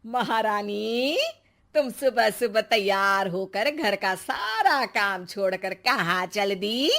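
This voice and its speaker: A woman voicing a bossy, sarcastic, middle-aged mother-in-law in an animated moral story. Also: mid-range voice, slow, gravelly, heavily acted. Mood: angry, sarcastic. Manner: demanding, sarcastic.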